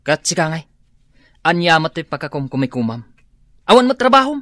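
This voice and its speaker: A woman's voice: sits low, sing-song, fairly quick, a bit bright, no echo, studio quality. A woman acting a scornful, bickering grown child in an Ilocano radio drama.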